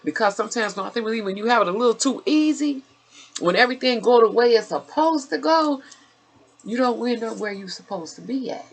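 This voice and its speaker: A woman's voice: fairly high pitch, lively intonation, a little fast, husky. A woman, chatting casually as an interviewer in a blunt, familiar and encouraging way. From Chicago, United States. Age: 50s. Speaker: interviewer and host.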